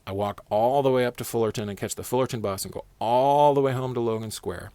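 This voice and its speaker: A man's voice: mid-range voice, fast, mellow, smooth, dry recording. Casual man telling a story, dry close sound. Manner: profane, slangy, conversational. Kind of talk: casual personal storytelling with acted dialogue.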